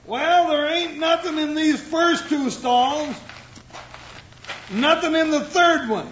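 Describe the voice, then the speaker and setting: An actor playing a fat, excitable, loyal comic sidekick in an old radio western. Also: male voice, extremely high pitch, slow, heavily acted. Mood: subdued. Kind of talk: 1950s radio western acting. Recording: very noisy, muffled old radio recording.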